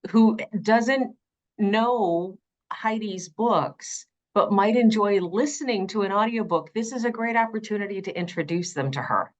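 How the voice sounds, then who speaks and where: A woman's voice: medium pitch, a little slow. A woman speaking as an audiobook narrator being interviewed, earnest and effusive, repeating phrases for emphasis.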